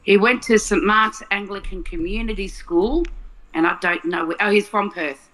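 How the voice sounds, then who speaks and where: A woman's voice: medium pitch, moderate pace, fairly bright tone, low-quality recording. A woman from Melbourne with an Australian accent, chatting casually and thinking aloud as she explains things. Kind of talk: casual chat.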